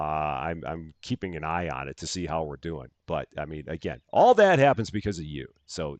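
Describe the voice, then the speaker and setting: A man's voice: low-pitched, no room sound. A middle-aged man from the Chicago area with a general American accent, hosting a live gaming stream and greeting viewers by name in upbeat, casual chat full of fillers and restarts. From Chicago area, USA.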